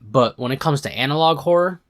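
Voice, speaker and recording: A man giving casual, slangy reaction commentary as a video host, sarcastic and quick to exclaim. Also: medium pitch, expressive, a bit bright.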